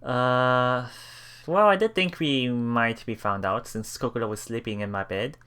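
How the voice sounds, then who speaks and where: A man reading visual novel text aloud as a let's play host, with casual commentary and a halting delivery that repeats phrases. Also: mid-range voice, bright, forceful tone, clear, resonant tone. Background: game music.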